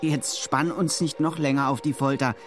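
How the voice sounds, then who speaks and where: A man's voice: medium pitch, steady tone, moderate pace, lightly acted. A man playing an eager, slightly bumbling podcast co-host, bantering in a casual, jokey way over music. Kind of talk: scripted banter played as casual podcast chat.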